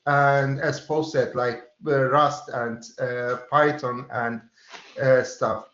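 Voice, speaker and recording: A man whose first language is Turkish talks through his work at an even middle pitch, pausing often. His voice comes over a video call and sounds roomy and dull, like cheap call audio. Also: a little hiss or hum. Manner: hesitant, many filler pauses and restarts. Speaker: freelance developer and consultant. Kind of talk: webinar panel introduction.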